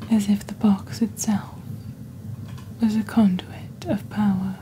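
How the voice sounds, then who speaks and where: A young woman playing a composed witch who presents a shopping channel in soft, breathy, half-whispered ASMR. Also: medium pitch, flat delivery, a little hiss or hum, close to an everyday voice. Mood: composed, mysterious. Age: young adult. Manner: slow, deliberate, measured. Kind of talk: ASMR roleplay, scripted product presentation.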